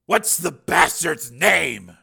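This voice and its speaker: A man voicing a hard, angry cyborg cop who barks urgent, forceful orders in an audiobook thriller. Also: fairly high pitch, big pitch swings, slow, gravelly, slightly rough, heavily acted. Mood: angry, demanding.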